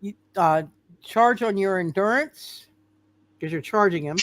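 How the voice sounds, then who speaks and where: Halting game master with repeated phrases. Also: mid-range voice. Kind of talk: running a live tabletop superhero roleplay game.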